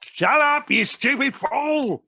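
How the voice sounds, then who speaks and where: An actor playing a scheming, bullying chief weasel in an audio play, taunting and contemptuous, with a gravelly voice. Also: sits high, lively intonation, takes it slowly, sharp tone, full character voice. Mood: furious. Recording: home recording over video call.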